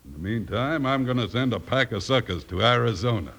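An actor playing a boastful, scheming western swindler boss in an old time radio drama, gravelly and commanding. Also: male voice, mid-range voice, lively intonation, brisk, fairly bright tone. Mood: menacing. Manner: gloating, commanding.

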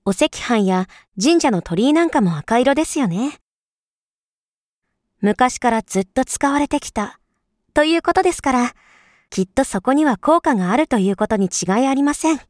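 A woman acting an overly polite, persuasive female shop clerk in a voice drama, speaking in smooth honorific sales talk. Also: high-pitched, expressive, moderate pace, darkish tone, no echo, studio quality. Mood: earnest, persuasive.

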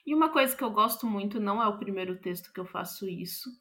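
A woman's voice: mid-range voice, fast talker, a bit bright. A Brazilian woman speaking as an interviewed playwright, reflective and thoughtful, often searching for the right word.

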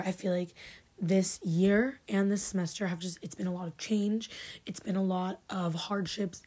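A young woman in her twenties hosting a podcast, chatty and a bit sarcastic, her voice raspy from having just woken up. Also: mid-range voice, darkish tone. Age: 20s. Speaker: podcast host. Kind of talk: casual chat. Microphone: filmed podcast setup.

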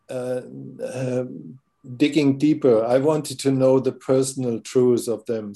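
A man in his sixties with a German accent, a podcast guest in an interview, speaking haltingly with many fillers as he searches for words. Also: medium pitch, dark tone. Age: 60s. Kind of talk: interview conversation.

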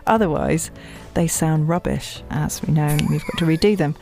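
Woman, very mellow and gravelly, informal interview chat, medium pitch, faint music behind. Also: normal speed. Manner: informal, chatty. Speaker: voice actress.

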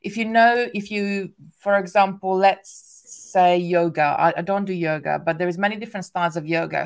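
A woman speaks English with a strong Hungarian accent, her first language showing in the grammar. She rambles casually in a mid-pitched voice, full of restarts and small laughs, as a guest on a chat show. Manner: chatty, many restarts and "like" fillers, laughs often. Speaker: guest, craft business owner.

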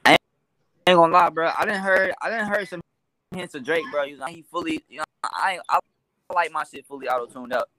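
A man who gives his opinions in a halting way, full of fillers. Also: on the high side, pushed and bright.

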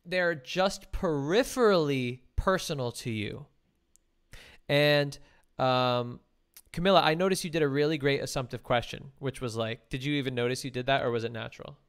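A man's voice: mid-range voice, moderate pace, clean tone. A young man coaching a timed conversation exercise, giving instructions and feedback. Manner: instructive, gives directions and feedback. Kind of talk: guiding a timed practice chat.

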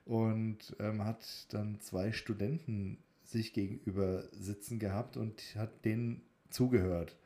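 Low-voiced, mellow young man chatting. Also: fairly even intonation, measured pace, hardly any pauses. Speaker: podcast co-host. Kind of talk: casual chat.

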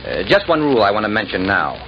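An actor playing a genial, avuncular camp director who hems and hesitates in a 1940s radio show. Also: male voice, lowish voice, huge pitch swings, quick pace. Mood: genial, instructive. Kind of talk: 1940s radio comedy acting. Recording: muffled, faintly noisy 1940s radio broadcast.

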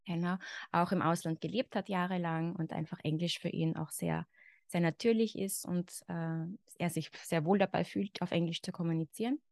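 A woman's voice: on the low side, fairly even intonation, normal speed, vocal fry, darkish tone, dry recording, clean studio sound. A woman in her thirties with an Austrian German accent, a guest expert talking reflectively in a podcast conversation and often saying "genau" and "ja".

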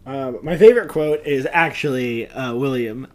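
A man's voice: medium pitch, normal speed. A man talking casually as co-host of a TV recap podcast. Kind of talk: casual chat.

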